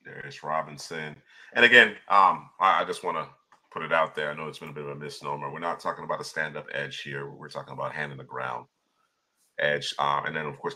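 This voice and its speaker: A man's voice: rumbling low, pushed and bright, slightly rough. A man hosting a draft show, calling the picks on the clock and explaining a player's role. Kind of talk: announcing picks, casual chat.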